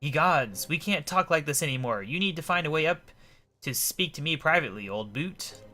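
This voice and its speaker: Pompous old gent, animated. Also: male voice, fairly high pitch, moderate pace, voice pushed up. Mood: urgent. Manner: blustering, exclamatory, old-fashioned genteel speech. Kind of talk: voicing video game dialogue.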